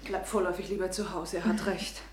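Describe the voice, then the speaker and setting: An actress playing a blunt, resentful younger sister and aunt in a German radio play, outspoken and reproachful. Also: female voice, on the low side, fairly even intonation, brisk, background noise, natural voice. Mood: concerned, restrained.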